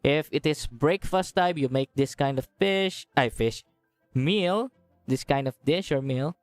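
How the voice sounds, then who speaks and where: A woman game streamer with a low, smooth voice. She comments casually and slowly, hesitating as she thinks aloud. The sound is dry, with soft game music behind her. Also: no echo. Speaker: game livestreamer. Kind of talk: casual live commentary over gameplay.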